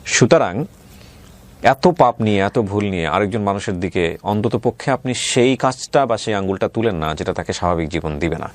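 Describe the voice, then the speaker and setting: A man's voice: fairly low pitch, lively intonation, moderate pace. A Bangladeshi man hosting an interview show, speaking emphatically and mixing in English words. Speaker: interview host. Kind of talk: talk show interviewing and addressing viewers.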